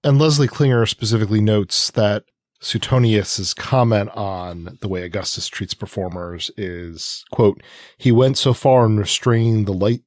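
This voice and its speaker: A man with a fairly low voice co-hosts a literary discussion. He phrases things tentatively and haltingly as he cites and comments on sources. The recording is dry, without room sound. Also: darkish tone, no echo. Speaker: podcast co-host. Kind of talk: conversational literary discussion with read-out citations.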